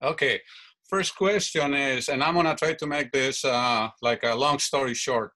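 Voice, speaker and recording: A man's voice: mid-range voice, normal speed, bright, forceful tone. A middle-aged man from Mexico, telling long rambling stories as an interview guest. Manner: rambling, self-correcting storyteller. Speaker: guest fan. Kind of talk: casual interview answers.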